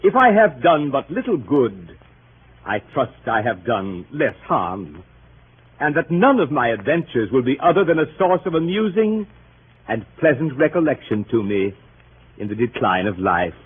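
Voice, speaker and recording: An actor playing a dignified, earnest older gentleman with stately, oratorical delivery in 1930s radio style. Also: mid-range voice, sing-song, moderate pace, phone-quality sound, close to an everyday voice. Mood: earnest, heartfelt. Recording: thin noisy 1930s radio broadcast.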